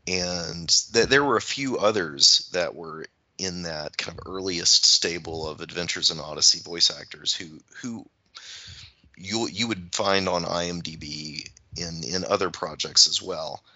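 Very deep, bright-edged man, halting. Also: no echo, dull audio. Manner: halting, searching phrasing with repeated words. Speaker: podcast panelist. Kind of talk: reflective podcast discussion.

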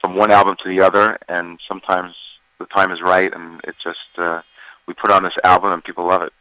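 Brief: male singer as interview guest, low deep voice, bright tone, relaxed and halting. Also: clear, resonant tone, no room sound, poor audio. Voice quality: deeper voice. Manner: relaxed, many fillers and restarts. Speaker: singer interviewed about his album. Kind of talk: casual interview chat.

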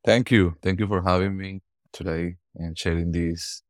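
A man in his forties from Venezuela with a Venezuelan Spanish accent, answering interview questions earnestly and haltingly, often repeating words as he searches for phrasing. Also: deep voice, fairly even intonation, dry recording, studio quality. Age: 40s. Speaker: guest.

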